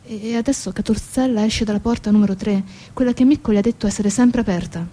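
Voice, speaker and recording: A woman narrating a literary dramatic monologue in measured, recited phrases. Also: medium pitch, mellow. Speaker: stage actress-narrator.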